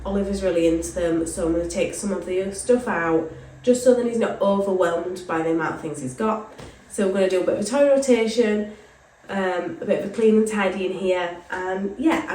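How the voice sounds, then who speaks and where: A woman with a cold, chatting casually through a home vlog and filling pauses with frequent ums. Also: mid-range voice, warm tone, a little hiss or hum. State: full of cold, sore throat. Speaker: vlogger. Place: indoors, home playroom. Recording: echoey room.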